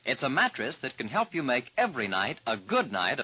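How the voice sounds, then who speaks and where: Husky-voiced old radio announcer. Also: male voice, mid-range voice, huge pitch swings, a little fast. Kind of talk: scripted radio commercial. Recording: dull lo-fi 1940s radio recording.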